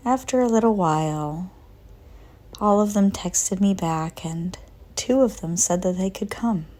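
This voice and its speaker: A woman narrating a guided visualization in a soft, breathy voice that often nears a whisper, calm and gentle with long pauses. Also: medium pitch. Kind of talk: slow guided visualization, soothing scripted narration.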